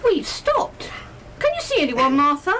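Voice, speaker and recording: Woman as a high, lively, sceptical lady passenger. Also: measured pace, noisy background. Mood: wary. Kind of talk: amateur live radio play acting.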